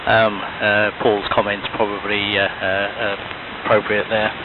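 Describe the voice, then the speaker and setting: Halting, throat-clearing ham operator, man, muffled lo-fi shortwave with steady hiss. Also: fairly low pitch. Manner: hesitant, full of ums, frequent throat clearing. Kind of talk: casual on-air radio chat. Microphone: radio transceiver mic heard over the air. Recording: noisy, band-limited shortwave radio hiss.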